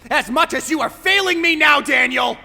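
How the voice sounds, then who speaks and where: Casting: a grandiose, taunting villain for audio drama, forceful and cutting, theatrical delivery, long reverberant tail. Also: male voice, extremely high pitch, moderate pace, creaky, faint music behind, heavily acted. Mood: accusing, furious. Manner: theatrical, swinging from whispers to shouts. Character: menacing, taunting male tormentor, grandiose and theatrical. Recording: long hall reverb, faint noise.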